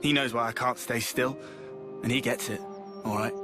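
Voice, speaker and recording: Brash game fighter, male actor, British, mid-pitched, colloquial and restless, music behind. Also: steady tone, moderate pace, lightly acted. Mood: guarded, earnest. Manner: blunt, colloquial. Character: brash, restless young male soldier, blunt and loyal. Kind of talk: video game cutscene acting.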